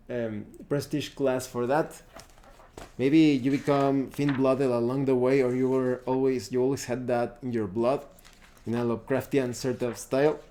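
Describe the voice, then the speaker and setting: A man giving a casual, unscripted tabletop game review, often pausing to search and think aloud. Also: mid-range voice, warm tone, slight background noise. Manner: halting, searching, thinking aloud while browsing books.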